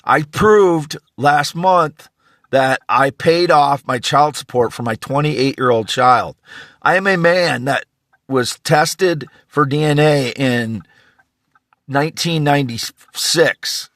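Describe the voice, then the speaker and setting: Slightly raspy middle-aged man. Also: fairly high pitch, slow, a bit bright, dry recording, studio quality. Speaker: vlogger. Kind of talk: rambling monologue to camera. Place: in a pickup truck.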